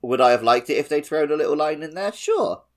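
A man's voice: fairly high pitch, lively intonation, brisk. A man co-hosting a podcast, chatting casually and animatedly with his opinions about a video game. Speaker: podcast co-host.

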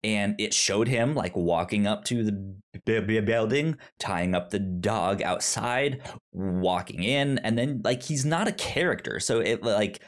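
A man with a stammer talking casually and haltingly as a podcast co-host discussing movies. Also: on the low side, lively intonation. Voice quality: stammer, repeating sounds and words. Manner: halting, rambling. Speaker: podcast co-host reviewing films.

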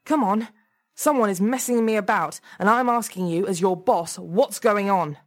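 A woman's voice: medium pitch, normal speed, lightly acted. Audiobook role: combative, paranoid male office manager played by a woman, raised and emphatic, demanding answers.

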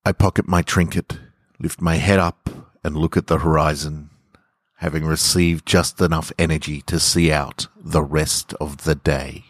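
A man hosting a story podcast, speaking with measured, deliberate phrasing. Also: extremely low pitch, fairly even intonation, no echo, studio quality. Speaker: podcast host and story reader. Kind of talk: literary story narration and informal sign-off.

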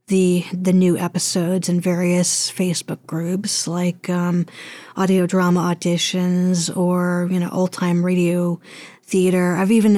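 A woman's voice: lowish voice, a little mellow, no room sound. A woman speaking casually as an interview guest, rambling a little and often searching for her words. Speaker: interview guest, audio drama producer.

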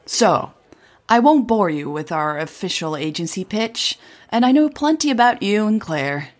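A woman's voice: mid-range voice, lively intonation, a little slow, voice pushed up, close to an everyday voice. A woman voicing an eager, upbeat, businesslike hipster agency man in an audio drama. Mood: pitching, eager.

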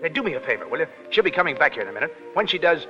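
A man's voice: a little high, big pitch swings, very fast, music in the background. An actor playing a brash, self-effacing American Foreign Legion private in 1930s radio drama, with blunt, slangy tough-guy talk. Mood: bitter, resigned. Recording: vintage 1930s radio, dull, hall reverb.

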